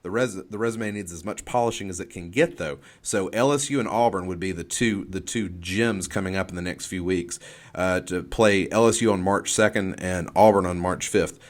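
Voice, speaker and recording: A man's voice: low-pitched, normal speed. A man giving off-the-cuff sports analysis in an explanatory way, often restarting and repeating his phrases. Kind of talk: off-the-cuff college basketball analysis.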